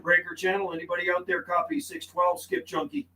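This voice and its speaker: A middle-aged man with a Canadian accent and a notably high-pitched voice talks as a CB radio operator. He is friendly and laid-back, slipping between loose chat and channel calls. Noticeable radio noise sits behind his voice. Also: animated, a little fast, pushed and bright, low-quality recording. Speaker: livestream host and CB radio operator. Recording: clear background noise, radio ambience. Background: CB radio noise and ambience.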